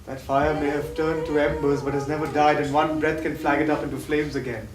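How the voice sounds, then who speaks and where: A man's voice: fairly high pitch, level tone, fairly quick, close to an everyday voice. A man acting a sick, tormented addict confessing lost love in an impassioned, poetic stage-play style. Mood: fervent longing. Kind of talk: theatrical stage play dialogue. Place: theatre stage, recorded from afar. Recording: distant, echoey, noisy stage recording.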